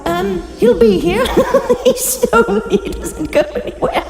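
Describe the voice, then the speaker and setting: A woman's voice: very high voice, expressive, slow, vocal fry, a crowd in the background, full character voice. A woman voicing a coy, gossipy, lonely Medusa in improvised tabletop roleplay, with a theatrical, hesitant, giggly manner. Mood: wistful, coy. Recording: echoey hall, music underneath.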